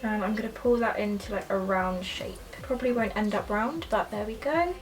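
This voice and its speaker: A woman's voice: mid-range voice, moderate pace, far from the mic, over loud music, low-quality recording. A young woman chatting casually and enthusiastically through a makeup tutorial, full of "like" and "so". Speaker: beauty vlogger.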